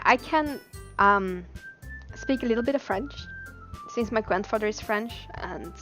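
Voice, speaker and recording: A woman from Belgium with a Dutch accent and Dutch as her native language, live streaming in a giggly, expressive way and sometimes stumbling over words. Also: medium pitch. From Belgium, Dutch-speaking. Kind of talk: live stream chat and reading game text aloud.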